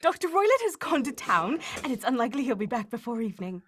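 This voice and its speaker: A woman's voice: a little high, sing-song, fast talker, fairly bright tone. A woman playing an eager, anxious young Victorian lady, melodramatic and earnest, in a 1940s radio-play style, with noise behind her. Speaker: voice actor in a live radio play. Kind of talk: 1940s radio drama, performed cold.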